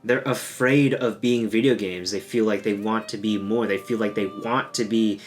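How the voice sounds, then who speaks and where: A man's voice: fairly low pitch, fairly even intonation, normal speed. Male gaming host, casual video essay delivery, joking and self-deprecating, with faint guitar music underneath.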